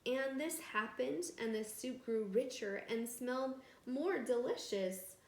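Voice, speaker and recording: Slow, high-voiced woman reading a storybook aloud. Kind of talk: expressive picture-book read-aloud with asides to young listeners. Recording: echoey room with faint background noise.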